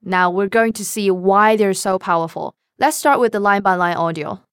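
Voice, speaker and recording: Female co-host with a Cantonese accent, smooth resonant voice, dry studio sound, careful slow model phrases. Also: mid-range voice, fairly bright tone, no room sound. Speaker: language lesson co-host. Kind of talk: scripted language lesson.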